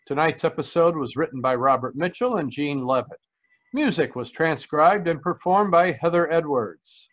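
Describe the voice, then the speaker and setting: A man's voice: fairly high pitch, fairly bright tone, dull audio. A man announcing in the scripted style of old-time radio.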